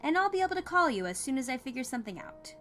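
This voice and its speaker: A woman's voice: high voice, very animated, rapid-fire, pushed and bright, pitched above the performer's own voice, full character voice. A woman narrator puts on a small-town male clerk for an audio story. He is casual, helpful and apologetic, explaining things matter-of-factly, with music in the background. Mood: easygoing. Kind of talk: dramatized audiobook character dialogue.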